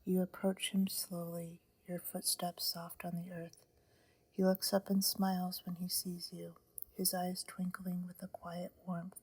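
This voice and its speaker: Woman whispering, guided sleep meditation, low voice, flat and even, breathy, dry close sound. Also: dry recording. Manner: slow, soothing, hushed.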